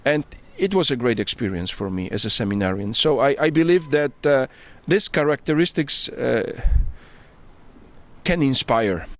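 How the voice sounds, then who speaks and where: Man, Polish accent, middle-aged, priest, earnest, mid-range pitch, searching for words, rooftop outdoors. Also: expressive, normal speed. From Poland. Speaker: priest and bishop-elect, interviewee. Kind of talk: casual interview.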